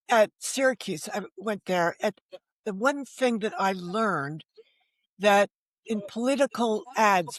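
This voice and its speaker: A woman's voice: on the low side, no echo. American woman, seventies, podcast guest, emphatic storyteller, conversational, dry close sound. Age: 70s. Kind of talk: casual interview conversation.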